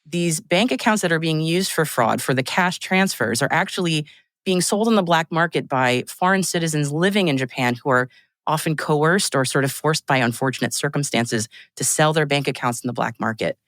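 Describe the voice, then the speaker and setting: A woman's voice: low voice, normal speed, dry recording. Chatty woman podcast guest, dry studio sound. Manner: conversational, laughs often, restarts phrases. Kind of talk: casual podcast discussion.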